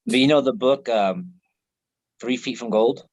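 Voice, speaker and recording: A man's voice: mid-range voice, unhurried, dull audio. An American man chatting casually on a live video call, laid-back, laughing often and swearing freely. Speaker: host.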